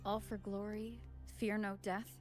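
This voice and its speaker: An actress playing a calm, resolute female hero in a video game, soft-spoken and grave. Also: mid-range voice, measured pace, natural voice. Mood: grave, reassuring. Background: game music.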